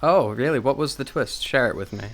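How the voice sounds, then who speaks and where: A man's voice: medium pitch, brisk, a bit bright. A man co-hosting a casual podcast, talking in a rambling, scatterbrained way and swearing freely. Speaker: podcast co-host.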